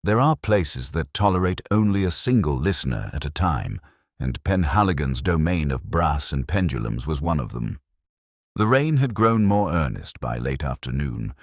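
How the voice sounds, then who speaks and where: Casting: a man as a brooding, bookish inspector in middle age narrating an audio mystery; rock-bottom bass, measured literary delivery, close dry sound. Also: no room sound, exaggerated voice. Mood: brooding, uneasy. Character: brooding, erudite middle-aged male police inspector. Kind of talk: scripted first-person detective narration, audio drama.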